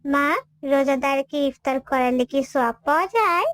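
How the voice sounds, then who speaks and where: A performer voicing a polite, devout little cartoon girl who is helpful and speaks in an earnest, gently instructive way. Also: high voice, moderate pace, no echo, clean studio sound. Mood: eager, polite. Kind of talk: cartoon dialogue.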